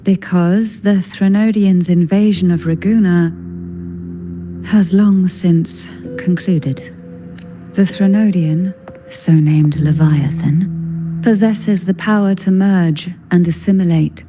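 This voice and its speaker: A woman's voice: fairly low pitch, slow pace, music in the background. A woman voicing an elegant, enigmatic noblewoman in a video game, speaking in a formal, poised and languid manner. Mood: grave, ominous. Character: elegant, enigmatic noblewoman, head of a powerful family. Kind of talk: video game story dialogue.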